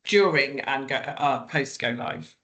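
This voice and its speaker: A man's voice: mid-range voice, slow pace, bright, forceful tone. A man with a British accent moderating a webinar conversationally, rambling a little as he builds his questions.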